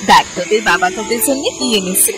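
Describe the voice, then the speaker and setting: A woman's voice: mid-range voice, quick pace, lightly acted. A woman voicing a worried, long-suffering village mother in a cartoon, fretful and plaintive. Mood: coaxing. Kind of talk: cartoon voice acting. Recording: music under the voice.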